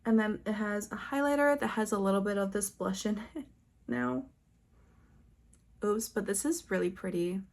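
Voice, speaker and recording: Female beauty creator, medium pitch, chatty and informal, casual show-and-tell delivery with little asides. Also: normal speed, creaky, long pauses. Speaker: beauty vlogger.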